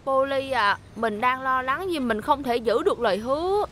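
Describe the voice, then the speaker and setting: Anxious, high-pitched cartoon school bus. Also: female voice, normal speed, a bit bright, a little hiss or hum. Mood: worried. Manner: earnest, fretful. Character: anxious, kind-hearted young male school bus. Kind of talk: dubbed children's cartoon acting.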